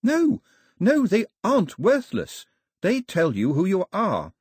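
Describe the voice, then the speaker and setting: A woman's voice: mid-range voice, huge pitch swings, slow pace, darkish tone, breathy edge, dry recording, clean studio sound, voice pushed up, full character voice. A man voicing an earnest, proper young girl who speaks in a prim, insistent way. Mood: urgent, insistent.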